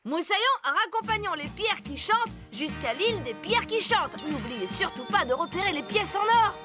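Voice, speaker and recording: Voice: very high voice, very animated, moderate pace. A performer voicing a plucky, friendly young boy pirate leader in a children's cartoon, eager and adventurous. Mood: helpful, determined. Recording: music bed behind.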